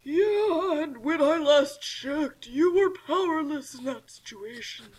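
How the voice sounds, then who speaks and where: A woman voicing a gloating, villainous giant talking dictionary in a pompous, theatrical, drawn-out way, in a comic story reading. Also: high voice, animated, pitched above the performer's own voice. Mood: taunting. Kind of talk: comic fan fiction read aloud.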